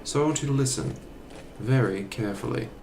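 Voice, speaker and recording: A man acting a haughty, menacing Imperial officer in an audio drama, condescending and measured, with noisy ambience around him. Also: medium pitch, echoey room, natural voice. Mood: scornful.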